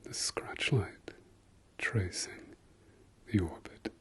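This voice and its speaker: Slow whispering man, soothing ASMR. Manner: slow soft whisper, repetitive soothing praise. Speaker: ASMR creator. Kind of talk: ASMR eye-exam roleplay.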